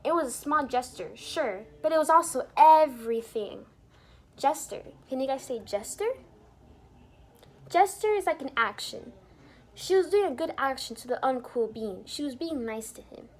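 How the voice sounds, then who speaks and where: A woman reading a picture book aloud to young children in an expressive, teacherly way, pausing to explain things and put questions to the listeners. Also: sits high. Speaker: children's storybook reader and teacher. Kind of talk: animated read-aloud for young children with asides explaining words.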